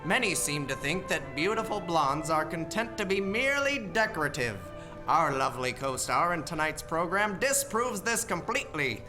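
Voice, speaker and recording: Guy doing an old timey radio announcer, swingy high voice, music behind. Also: sing-song, moderate pace, poor audio. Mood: smooth, promotional. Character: polished 1940s radio announcer.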